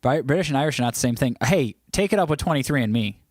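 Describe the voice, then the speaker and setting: A man's voice: mid-range voice, lively intonation, fast, no echo, studio quality. A man hosting a casual livestream, chatting loosely and teasing his viewers as he reads their comments aloud. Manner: rambling with frequent restarts. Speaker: livestream host.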